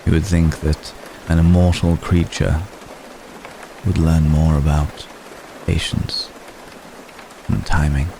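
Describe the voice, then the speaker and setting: Man as a very deep, creaky, tender vampire gentleman. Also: exaggerated voice. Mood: tender, vulnerable confession. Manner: halting, formal, soft-spoken, deliberate phrasing. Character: centuries-old male vampire gentleman, tender, lovestruck, apologetic. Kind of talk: ASMR roleplay, intimate dramatic monologue. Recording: steady rain noise behind the voice. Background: rain.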